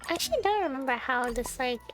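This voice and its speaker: A woman with a high-pitched voice chats casually on a game livestream. She thinks aloud and often puts questions to her viewers. Game music plays clearly behind her. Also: animated, quick pace. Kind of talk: casual chat while playing a game for viewers. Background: video game music.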